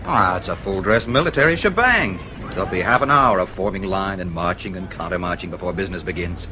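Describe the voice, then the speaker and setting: A man's voice: sits low, animated, a little fast, background noise. An actor playing a wry, breezy American newspaperman in a radio drama, casual and knowing, with an American accent.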